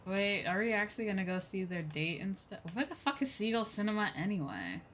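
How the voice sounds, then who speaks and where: A woman's voice: mid-range voice, sing-song, brisk, fairly bright tone, slight background noise. A woman chatting casually and expressively as she commentates a game livestream. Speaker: streamer. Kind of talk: casual game livestream commentary, reading on-screen dialogue aloud. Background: game music.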